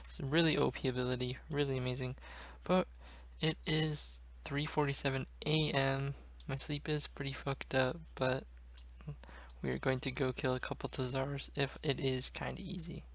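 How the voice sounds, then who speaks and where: A man's voice: medium pitch, level tone, moderate pace. A man giving casual commentary over his own gameplay, chatting directly to his viewers as he plays. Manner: chatty, addresses viewers as guys. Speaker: gaming video creator. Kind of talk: casual let's-play commentary.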